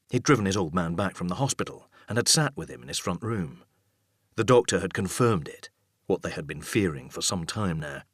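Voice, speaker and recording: Male narrator for dark thriller audiobook: low, raspy tone, measured suspense, dry recording. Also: no room sound.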